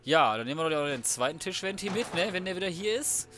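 A man's voice: a little high, animated, fast talker, background noise. A man giving casual, slangy and crude commentary on a video game, talking to himself as he plays. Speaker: let's play gamer.

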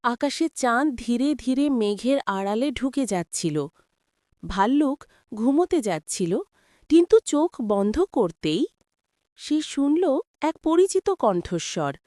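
A woman narrating a scripted cartoon story in a dramatic, measured storytelling manner. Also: on the high side, very animated, a little mellow, dry recording, clean studio sound.

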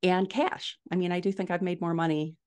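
A woman's voice: lowish voice, flat delivery, fairly quick, a little mellow, clear, resonant tone. A middle-aged woman answering conversationally as an interview guest, explaining things and stressing her key points, on a video call from a room at home. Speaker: podcast guest, indie fiction author. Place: small furnished room at home, indoors.

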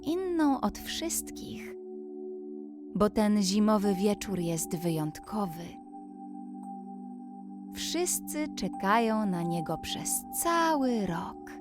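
Very slow-paced woman telling a bedtime story. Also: medium pitch, sing-song. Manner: soothing, gentle, addressing a child listener. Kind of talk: slow scripted bedtime story with guided relaxation. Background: background music.

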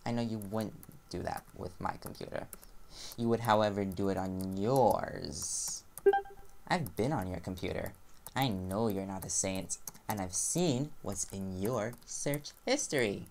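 A man's voice: on the low side, measured pace, gravelly, heavy background noise. A man playing an eager, teasing young reporter boyfriend in a chatty audio roleplay. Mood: playful. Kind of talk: conversational boyfriend roleplay acting.

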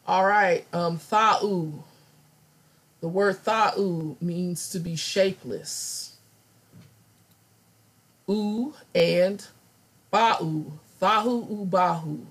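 A woman with a hoarse, raspy voice, still getting over laryngitis, teaching a scripture study lesson over slides and thinking aloud with many ums. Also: lowish voice.

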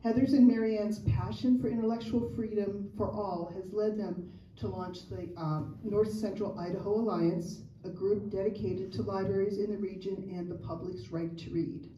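Woman host, dark mellow voice, measured read, echoey and far. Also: medium pitch, fairly even intonation, muffled recording, slight background noise. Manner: measured, reading from notes. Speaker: event host introducing speakers. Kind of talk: reading an introduction. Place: large community hall, indoors. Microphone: far camera. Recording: roomy hall recorded from far off.

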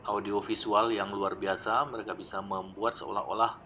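A man narrating his old travel footage in a relaxed, explanatory voice-over, often pausing with fillers. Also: on the low side, a little hiss or hum. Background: background music.

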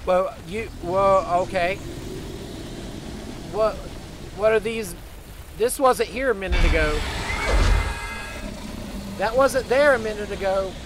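A man's voice: high voice, takes it slowly, pauses often, noisy background. A man improvising first-person video game roleplay in an emotional, dramatic way, repeating short exclamations. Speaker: gaming video creator.

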